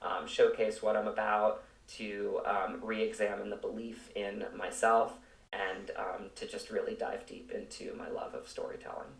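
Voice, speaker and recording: A man's voice: lowish voice, little pitch movement, measured pace, creaky. A man speaking as an interview guest in an earnest, reflective, conversational way, recorded with room echo at some distance. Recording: echoey room, distant from mic.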